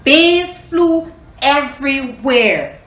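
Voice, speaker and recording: A woman's voice: sits high, animated, drawn-out words, fairly bright tone. A woman hosting a children's storytelling session, teacherly, repeating herself and putting questions to her listeners. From Liberia. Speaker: storytelling host reading to children. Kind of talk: animated children's story reading and lesson. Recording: roomy echo, clear background noise.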